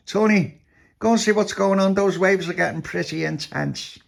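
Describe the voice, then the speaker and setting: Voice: mid-range voice, moderate pace, gravelly, voice pushed up, natural voice. A performer voicing a bossy female ship captain who scolds and orders the crew about in a children's story. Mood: urgent, bossy. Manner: commanding, scolding.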